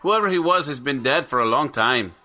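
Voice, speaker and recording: An actor playing a down-to-earth farm worker, speaking emphatically in an audio horror drama. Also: male voice, medium pitch, lively intonation, fairly quick, pushed and bright, soft background music. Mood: dismissive. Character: sensible, dismissive Mexican farm worker man, friendly.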